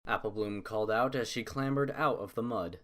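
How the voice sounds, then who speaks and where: Low-voiced man narrating a story. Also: fairly quick, very noisy.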